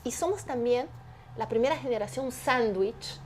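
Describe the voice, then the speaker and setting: A woman's voice: on the high side, normal speed, a little hiss or hum. Woman, 50s, interview guest; emphatic and explanatory, uses rhetorical questions. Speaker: guest, entrepreneur. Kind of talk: animated interview conversation.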